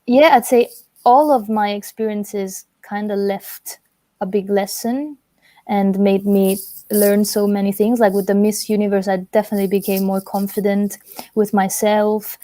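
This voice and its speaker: A woman in her twenties, raised in Italy, talks easily as an interview guest, filling her sentences with casual "like" and "kinda". Her voice is warm and mellow, and the recording is dry and close. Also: medium pitch, moderate pace, dry recording. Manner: relaxed, chatty, many fillers like "like" and "kinda". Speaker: guest singer.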